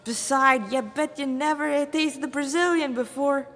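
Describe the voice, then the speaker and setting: A brazen older Rasta hunter from an adventure story, voiced by a woman. He is slangy and laid-back, drawing out his bargaining lines in a raised pitch. The sound rings with long reverb. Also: a bit bright, faint music behind. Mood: cool bargaining. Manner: slangy, drawn-out bargaining delivery. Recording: reverberant echoey room.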